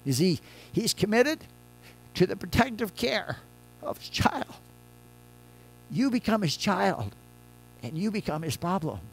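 An older man, a pastor, preaching a conversational sermon from a church pulpit, moving between loud emphatic lines and quiet soft ones. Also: sits high, sing-song, measured pace, vocal fry, pauses often, faint music behind, poor audio.

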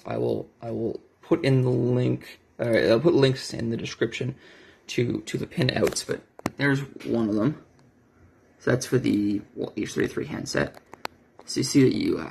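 A man's voice: mid-range voice, gravelly, a little mellow, breathy edge. A man giving a casual, unscripted show-and-tell as a hobbyist, hesitant and correcting himself, recorded on a handheld cell phone indoors at a desk. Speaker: hobbyist video maker.

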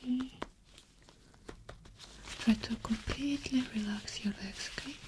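A woman doing an ASMR medical exam roleplay as the examiner, giving soft, unhurried instructions in short phrases close to the microphone. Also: sits high, background noise.

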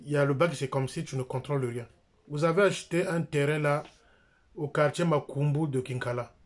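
A man's voice: fairly high pitch, moderate pace. A middle-aged man with a Congolese French accent, speaking straight to a close phone camera in a small room, insistent and repeating his points. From Republic of the Congo. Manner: insistent, repeats phrases, jabs with his finger. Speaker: church member and critic. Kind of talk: direct-to-camera denunciation.

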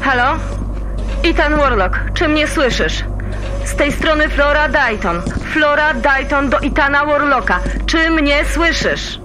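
A woman playing a brisk, teasing mission operator in a sci-fi audio drama, with a familiar, commanding manner. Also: high-pitched, expressive, over music, low-quality recording. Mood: calling out.

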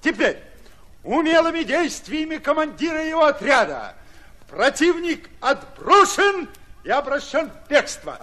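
An actor playing a brash, hot-tempered Red Army commander in a radio play, lecturing in rustic, emphatic colloquial speech. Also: male voice, very high voice, big pitch swings, measured pace, pushed and bright, exaggerated voice. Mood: emphatic, instructive.

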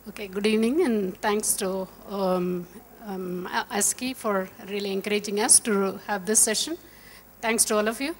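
Female panelist, mid pitch, polite opening, distant mic in a conference hall. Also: fairly even intonation, moderate pace, a little hiss or hum. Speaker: panelist, company operations head. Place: large conference hall stage.

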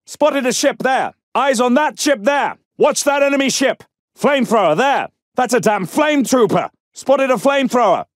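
An actor playing an urgent, commanding soldier, giving clipped battle callouts in a video game. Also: male voice, very high-pitched, no room sound, clean studio sound. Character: commanding male World War II British soldier pilot.

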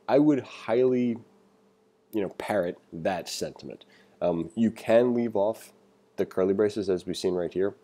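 A man with a deep voice and a soft, dark tone leads a read-along programming tutorial. He moves between reading aloud and conversational asides, freely giving his own opinions as he explains. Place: voice-over for a screen recording.

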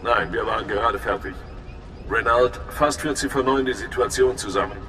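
An actor playing a stern, formal intelligence overseer in a sci-fi game, talking in a clipped, official way. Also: male voice, normal speed, pushed and bright, lightly acted. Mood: formal, businesslike. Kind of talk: dramatic sci-fi game dialogue. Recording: echoey hall with faint rumbling noise.

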